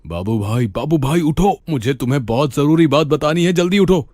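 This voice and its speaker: A man's voice: on the high side, lively intonation, normal speed, darkish tone, no room sound. Man acting an anxious young village friend. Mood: urgent.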